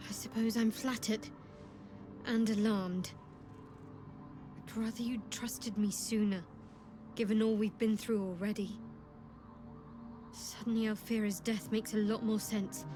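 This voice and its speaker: An actress voicing a guarded young half-elf cleric in a fantasy game, dry and soft-spoken, tender yet resolute. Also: female voice, mid-range voice, level tone, a little slow, long pauses, natural voice. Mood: wry, resolute. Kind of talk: video game dialogue acting. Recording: music behind the voice.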